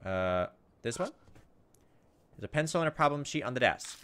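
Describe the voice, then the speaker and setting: A man's voice: medium pitch, big pitch swings, moderate pace, a bit bright, clean tone. A man giving casual gameplay commentary as a let's play host, thinking aloud in a hesitant, musing way.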